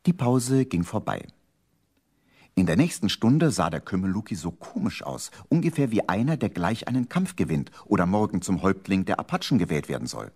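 A man with a husky voice narrating a scripted children's story for a radio play. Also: sits low, very animated, normal speed, a little mellow.

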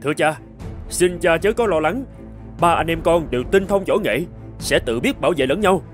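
A man's voice: a little high, normal speed, faint music behind, close to an everyday voice. A man voicing a brave, earnest young prince in a fairy-tale cartoon, speaking in a declamatory way. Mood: confident.

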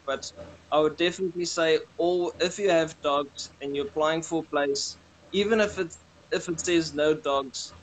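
A young man with a South African accent talks over a webcam call. His voice sits slightly higher, and he rambles casually with frequent restarts. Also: slight background noise, rough recording. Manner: relaxed, rambling with many restarts. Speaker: guest. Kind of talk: casual video call chat. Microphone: webcam on video call.

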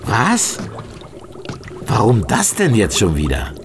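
A man's voice: on the low side, huge pitch swings, slow, noisy background, exaggerated voice. A man playing an impatient, sceptical police inspector in a German radio play, with a grumbling, sardonic manner. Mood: exasperated.

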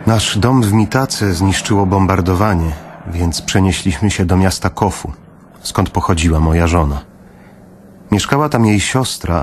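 A man narrating a literary radio drama in the first person, in a measured, somber manner. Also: sits low, slight background noise.

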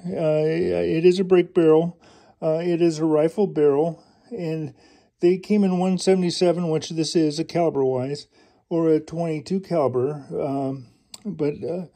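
A middle-aged man in a casual hands-on show-and-tell, halting with many fillers and restarts, recorded indoors by an overhead first-person camera. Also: a little high, creaky, dark tone. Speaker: collector showing an air pistol. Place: indoors at home, carpeted floor.

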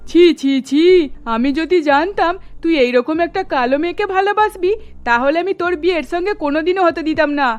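A middle-aged cartoon mother-in-law, acted by a woman, with a high voice. She is emphatic and exclaiming, quick to scold and quick to gush, over a music bed. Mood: scolding, shocked. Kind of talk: melodramatic cartoon dubbing.

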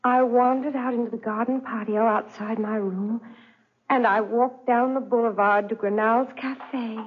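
An actress playing a lonely, tormented young French wife in a theatrical 1940s radio drama, with deliberate phrasing. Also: female voice, on the high side, a little hiss or hum, close to an everyday voice. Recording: dull, restored 1940s radio recording.

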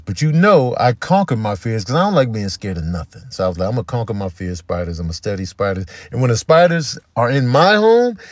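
A man giving comedic, casual commentary in an emphatic, slangy, animated manner. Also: mid-range voice. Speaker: comedian doing voiceovers and food vlogs.

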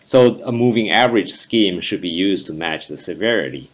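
Casual: guy walking thru engineering slides, lots of uhs, low voice, muffled recording. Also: animated. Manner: measured, frequent "uh" fillers. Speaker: conference presenter. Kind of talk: technical conference talk over slides. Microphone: voice-over for slides. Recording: dull lo-fi voice-over recording.

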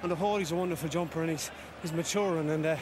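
Jockey talking fast after his win, highish voice, outdoor noise. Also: fairly even intonation. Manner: quick, modest, halting chat. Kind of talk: post-race interview. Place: outdoors at a racecourse. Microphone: handheld interviewer mic. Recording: noisy outdoor racecourse.

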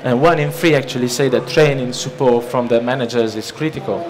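A man's voice: mid-range voice, fairly quick, music in the background. A man in his thirties with an Italian accent, giving a loose, conversational seminar talk from a lectern, full of restarts and fillers. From Italy. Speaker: conference presenter. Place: large conference hall, at a lectern. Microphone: lectern microphone. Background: listening audience.

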